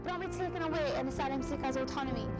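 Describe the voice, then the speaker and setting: A woman's voice: sits high, racing, loud music behind the voice. A young woman narrating a script, posing one rhetorical question after another. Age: young adult.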